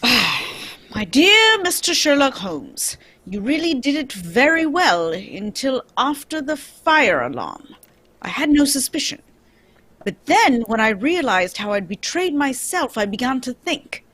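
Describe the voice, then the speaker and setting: Woman playing a high, theatrical, husky detective. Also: sing-song, measured pace, sharp tone, exaggerated voice. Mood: measured. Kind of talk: amateur old-time radio drama reading.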